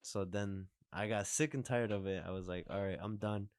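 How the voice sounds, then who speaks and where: A man's voice: lowish voice, fairly even intonation, moderate pace, a little hiss or hum. A man in his twenties hosting a podcast, chatting casually, rambling and restarting his sentences. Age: 20s. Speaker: podcast host. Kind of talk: casual chat.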